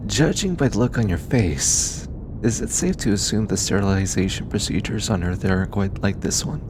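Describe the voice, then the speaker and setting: A man voicing a gentle, teasing alien scientist in an intimate audio roleplay, eager and given to chuckling. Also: on the low side, expressive, moderate pace, background noise, lightly acted. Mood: focused, explaining. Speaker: voice actor.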